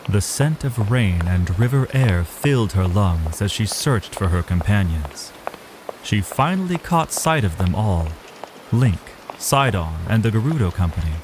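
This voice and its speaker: A man giving dramatic scripted narration of a fantasy adventure. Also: lowish voice. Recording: noisy ambience behind the voice.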